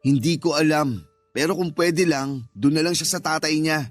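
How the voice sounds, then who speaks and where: A man's voice: a little high, normal speed, no echo, soft background music, natural voice. A man playing a callous, irritable husband in a Tagalog radio drama, curt and dismissive. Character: callous, irritable husband who resents his stepchild.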